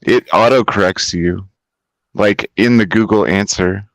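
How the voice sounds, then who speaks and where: A man with a lowish voice trades deadpan jokes in a loose podcast chat. The sound is close and dry, with no room around it. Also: measured pace, no room sound, low-quality recording. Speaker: podcast co-host.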